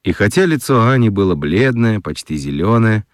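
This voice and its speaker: A man narrating a children's radio play in an expressive storytelling style. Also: lowish voice, normal speed, no echo, studio quality.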